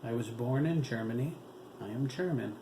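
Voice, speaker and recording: A man reading the part of a calm, dignified young boy who speaks politely and quietly. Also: medium pitch, moderate pace, natural voice. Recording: roomy room with steady hiss.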